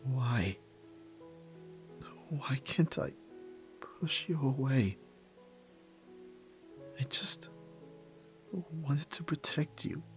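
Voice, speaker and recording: Man acting a slow-talking, guarded tsundere yakuza nerd. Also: medium pitch, expressive. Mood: confused, conflicted. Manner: halting, stammering, breathy sighs. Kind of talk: ASMR roleplay acting. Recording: music behind. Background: background music.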